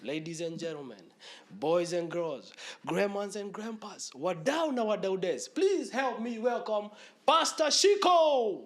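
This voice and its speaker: A man with a Kenyan accent hosting a casual podcast interview, mixing English with Swahili slang, lively at the intro and chatty after. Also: high-pitched, unhurried. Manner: hyped intro, then relaxed and chatty with laughs. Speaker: podcast host.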